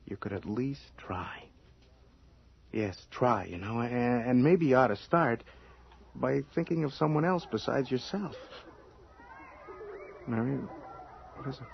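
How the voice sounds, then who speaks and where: A practical husband, informal and coaxing with some impatience, at an ordinary male pitch. It is old radio drama acting. The recording is muffled with faint noise. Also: takes it slowly, creaky, long pauses. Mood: insistent.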